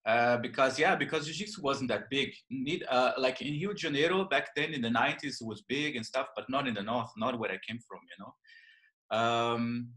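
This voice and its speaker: A man from northern Brazil with a Brazilian accent, telling his story as an interview guest, halting with many fillers and restarts. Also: mid-range voice, sharp tone. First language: Portuguese. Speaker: guest, jiu-jitsu and yoga teacher. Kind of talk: casual interview.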